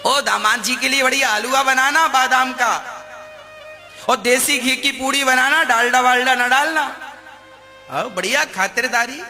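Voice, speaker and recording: A man's voice: very high-pitched, measured pace, sharp tone, faint music behind, exaggerated voice. A man voicing fussing, hospitable village in-laws with exclamatory, repeated calls, in an echoing hall. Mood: eager welcome. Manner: exclamatory, repetitive. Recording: echoey hall, long reverb.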